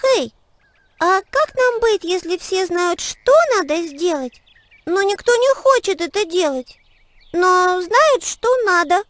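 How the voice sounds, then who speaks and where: A woman voicing a helpful, expressive little girl who explains things in a children's cartoon. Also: extremely high pitch, slow pace, full character voice. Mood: pondering.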